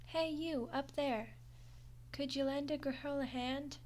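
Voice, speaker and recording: High-voiced, unhurried skeleton girl played by a woman. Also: fairly even intonation, a little mellow. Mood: friendly, sheepish. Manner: hesitant, chatty, wryly defensive. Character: friendly, awkward undead skeleton girl. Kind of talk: audio roleplay monologue to the listener.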